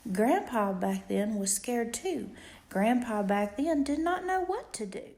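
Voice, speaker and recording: A woman reading a rhyming bedtime storybook aloud to a child in an expressive, rhythmic way. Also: fairly high pitch, measured pace, very noisy. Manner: rhythmic, animated read-aloud with emphatic questions.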